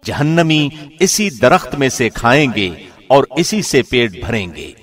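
A man reading a scripture translation as scripted narration, in a measured, formal delivery. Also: mid-range voice, sing-song, fairly bright tone. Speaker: translation reader, radio host. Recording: studio voice with long reverb.

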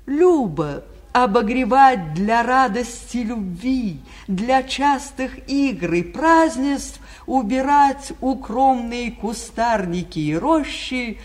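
An actress playing a stately, tender spirit of spring, declaiming lyrical verse in a 1940s radio fairy tale. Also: female voice, a little high, lively intonation, slow, hardly any pauses, darkish tone. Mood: lyrical, loving.

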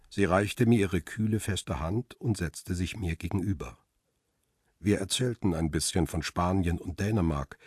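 An actor playing a world-weary middle-aged photographer who narrates a crime radio play in a measured, reflective way. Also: male voice, sits low, darkish tone, breathy edge, no room sound, studio quality. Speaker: first-person narrator.

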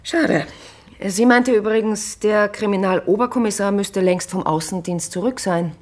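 An actress in a German radio drama playing a precise, self-assured psychologist with a creaky voice and a formal, coolly polite manner. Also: female voice, mid-range voice, normal speed, natural voice. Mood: cool, inquiring.

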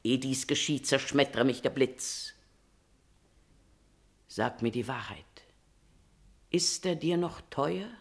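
A woman's voice: extremely low pitch, expressive, slow pace, long pauses, heavily acted. An actress playing a queen's devoted and scheming confidante in a classical tragedy, declaiming verse in an emphatic, persuasive manner. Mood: bitter, probing. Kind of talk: declamatory classical German stage verse acting.

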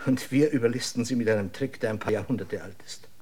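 Actor as a husky, grave male dissident. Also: mid-range voice, a little mellow, lightly acted. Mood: grave, confiding. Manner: measured, deliberate, serious. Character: grave, cautious male dissident scientist planning escape. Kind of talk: German radio drama acting.

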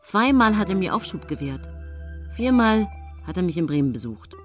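Female poisoner-narrator for radio drama, lowish voice, composed, falsely devoted, scheming underneath. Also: sing-song, normal speed. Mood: falsely sincere. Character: secretive, falsely devoted female poisoner confessing her crimes. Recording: studio radio drama with music.

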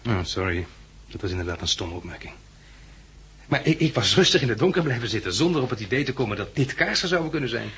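An actor playing a gallant, attentive man in a 1960s Dutch radio drama, speaking gently and with some hesitation in a Netherlands accent. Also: medium pitch, very animated, fast talker, breathy edge, heavily acted. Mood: gentle, curious. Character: courteous, attentive man dining at the world's end. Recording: noisy vintage 1960s radio recording.

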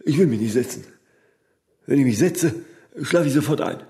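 Dark, mellow, stubborn celebrity workaholic played by a man. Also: mid-range voice, expressive, moderate pace, close to an everyday voice. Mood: exhausted. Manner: rambling pronouncements, frequent tag "ne?". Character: famous, tireless, vain older male workaholic, stubborn and philosophising. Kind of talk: radio drama dialogue.

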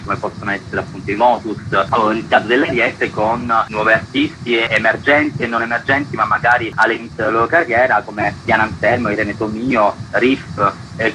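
A man's voice: on the low side, noisy background. A man giving an explanatory radio interview as a festival's co-artistic director.

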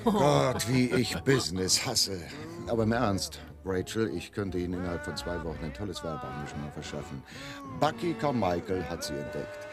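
Casting: big flamboyant, leering agent in radio drama; bass register, swooping delivery. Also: male voice, expressive, normal speed. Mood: boastful. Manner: boastful, gushing. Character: fat, flamboyant, lecherous Hollywood agent. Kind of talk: German radio play acting. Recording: loud music under the voice.

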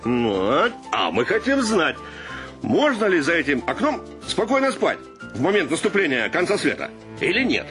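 An actor playing a brash, wisecracking bohemian photographer in a radio play, bantering and persuasive. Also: male voice, on the high side, huge pitch swings, normal speed, music in the background. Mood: scheming.

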